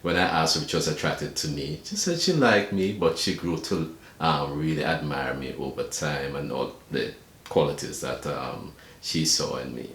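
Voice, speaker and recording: Jamaican man in his 60s, very deep, measured and earnest, echoey room. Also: fairly bright tone, slight background noise. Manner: measured, earnest, pausing often. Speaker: video blogger and church deacon. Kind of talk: earnest talk to camera. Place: room at home.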